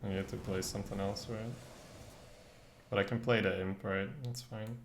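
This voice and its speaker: A man's voice: on the low side, fairly even intonation, moderate pace. A young man, a native Dutch speaker, giving casual live gameplay commentary, thinking aloud in slangy English as he streams. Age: young adult. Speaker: game streamer. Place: indoor room at a desk, streaming webcam. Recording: roomy echo, faint background noise.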